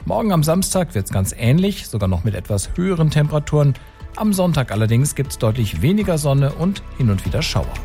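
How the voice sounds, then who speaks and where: A man's voice: fairly high pitch, sing-song, fairly quick, over music. A man presenting the radio weather forecast in a friendly, upbeat way.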